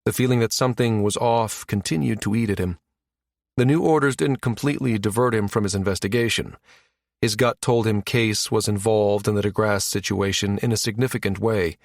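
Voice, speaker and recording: A man's voice: lowish voice, brisk, no echo, studio quality. A man reading a science fiction audiobook as scripted narration.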